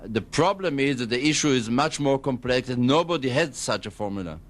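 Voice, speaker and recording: A man from Israel with an Israeli accent, giving formal, diplomatic and halting answers as a radio guest. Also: mid-range voice, expressive. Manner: measured, diplomatic, halting with long hesitations. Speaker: embassy spokesman, radio guest.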